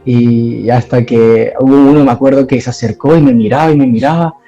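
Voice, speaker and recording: A man's voice: mid-range voice, fairly even intonation, a little fast, mellow, muffled recording, faint music behind. A man from Ecuador, giving his church testimony in an interview and talking hesitantly, with many fillers and restarts.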